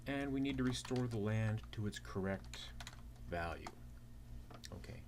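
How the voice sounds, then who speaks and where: Low-voiced man teaching step by step. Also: animated, unhurried. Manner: explains step by step with rhetorical questions. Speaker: accounting teaching assistant. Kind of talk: instructional walkthrough of a worked problem. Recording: clear background noise with keyboard typing.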